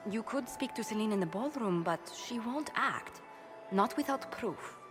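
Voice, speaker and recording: A woman playing a poised, sharp court advisor in a fantasy game, articulate and emphatic. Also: fairly high pitch, expressive, moderate pace, close to an everyday voice. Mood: concerned, pointed. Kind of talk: fantasy game cutscene acting. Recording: faint music behind the voice.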